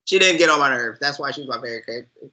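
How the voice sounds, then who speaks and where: Informal American co-host with a sharp, bright tone and mid pitch, rambling through casual film talk. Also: lively intonation, very fast. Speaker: podcast co-host reviewing a film.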